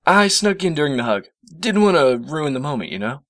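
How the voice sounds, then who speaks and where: A man's voice: on the high side, sing-song, a little fast, a bit bright, dry recording, clean studio sound, voice pushed up. A man voicing a friendly young male cartoon dragon sidekick in a casual, chatty manner for a storybook reading.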